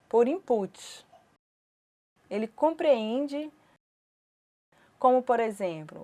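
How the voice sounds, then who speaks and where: A Brazilian Portuguese woman with a fairly high voice interprets a course lecture aloud. She speaks in careful, measured short phrases and pauses between them, like a teacher's words voiced on the fly. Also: a little mellow. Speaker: interpreter voicing a sign-language lecture.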